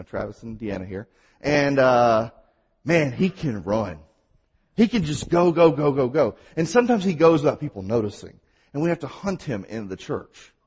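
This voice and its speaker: A man with a Mississippi accent preaching a sermon, telling stories and at times talking fast. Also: medium pitch, darkish tone, breathy edge. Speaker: pastor.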